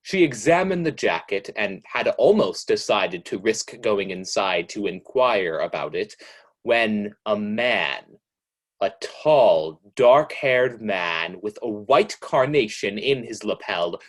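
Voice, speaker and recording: A man acts out a shadowy storyteller of dark tales for a radio suspense play. His voice sits low and he takes his time, deliberate and ominous with every phrase. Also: expressive. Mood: suspenseful storytelling. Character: mysterious, ominous all-knowing male narrator of dark tales.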